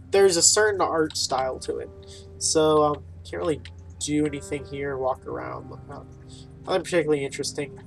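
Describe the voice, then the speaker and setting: A man giving casual, rambling playthrough commentary on a video game, reading dialogue aloud with wry, informal asides. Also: a little high. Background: game music.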